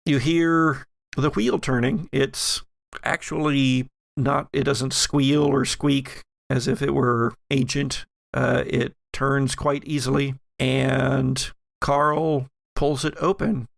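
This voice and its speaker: A man working as game master, improvising descriptive narration for a tabletop horror game in a halting, self-correcting way. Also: medium pitch, flat delivery, creaky, dry recording, studio quality.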